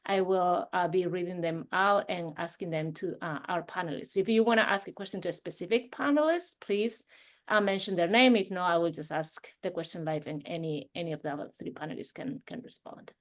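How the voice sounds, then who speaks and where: A woman's voice: on the low side, fairly quick. A woman, an assistant dean, moderating an online alumni panel over a video call, with frequent hesitant fillers.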